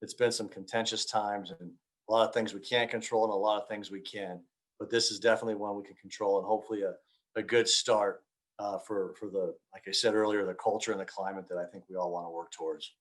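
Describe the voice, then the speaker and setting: A middle-aged man giving earnest, informal remarks as a police officer, often halting as he searches for words. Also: lowish voice, fairly even intonation. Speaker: police officer speaking at a community forum.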